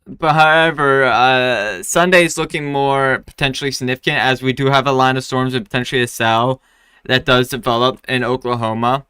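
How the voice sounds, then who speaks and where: A man's voice: medium pitch, steady tone, normal speed, bright, forceful tone, clear, resonant tone, no room sound. A man hosting a live weather forecast stream, talking casually with many fillers and restarts, his voice still recovering after he lost it. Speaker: weather livestream host.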